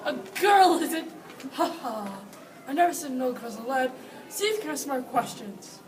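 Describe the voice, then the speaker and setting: A female reader playing a proud king who runs a contest, reading the script haltingly. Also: high-pitched, expressive, noisy background. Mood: amused. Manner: halting reading with repeated words. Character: proud male king running a contest of wits. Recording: distant mic, audience chatter.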